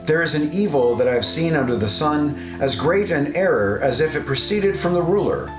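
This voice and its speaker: A man narrating an audio Bible, reading scripted scripture in a measured, solemn recitation. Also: a little high, expressive. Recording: roomy echo, guitar music underneath.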